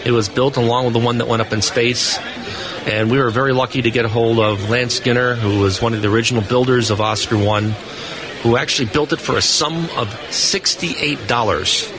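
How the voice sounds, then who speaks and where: A man's voice: mid-range voice, steady tone, brisk. A man giving detailed, technical explanations and stories as a guest in a live radio interview. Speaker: guest, amateur radio expert. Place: busy indoor convention hall booth. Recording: busy convention floor crowd noise. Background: crowd chatter.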